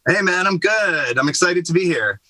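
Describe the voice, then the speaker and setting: A man with a bright, forward voice talks in an easygoing, chatty way. He sits in a truck cab, and the sound is close and dry. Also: sits high, expressive, normal speed, no echo. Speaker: guest musician. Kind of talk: casual video-call interview. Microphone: video call.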